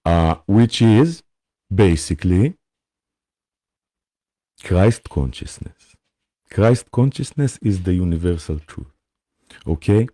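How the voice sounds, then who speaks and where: A man with an Israeli accent gives a spiritual talk on a live stream. His voice sits fairly low and sounds mellow, and he speaks very slowly and haltingly. He pauses often to check in with his listeners, and the sound is dry and close. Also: animated, no echo. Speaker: spiritual teacher hosting a live session.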